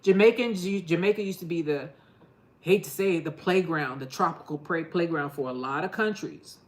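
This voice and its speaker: A woman's voice: on the low side, moderate pace. A middle-aged woman from New York chatting casually to her gardening channel, rambling and laughing often. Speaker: gardening vlogger. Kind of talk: casual chat to camera.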